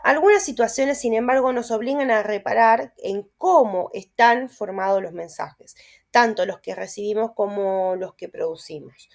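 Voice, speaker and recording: A woman's voice: mid-range voice, moderate pace, vocal fry. A young Argentine woman with a Rioplatense accent, reading lecture notes aloud and adding asides and tag questions as she explains. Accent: Rioplatense Spanish. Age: young adult. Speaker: student teacher.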